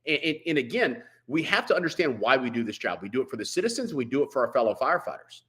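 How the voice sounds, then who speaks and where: Man, forceful bright tone, mid pitch, blunt, colloquial, firefighter interview talk. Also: sing-song, fast talker. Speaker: veteran firefighter, podcast guest.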